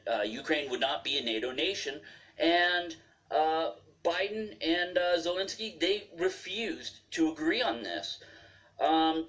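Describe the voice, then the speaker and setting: A man arguing heatedly as a debate panelist, full of restarts and fillers. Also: fairly high pitch. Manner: forceful, repeating fillers and restarts. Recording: distant from the mic.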